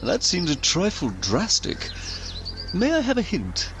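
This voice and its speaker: A man's voice: sits high, huge pitch swings, a little slow, music in the background, full character voice. A man playing a wry narrator who meddles in the story of an audio comedy, with theatrical, ornate phrasing. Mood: wry curiosity.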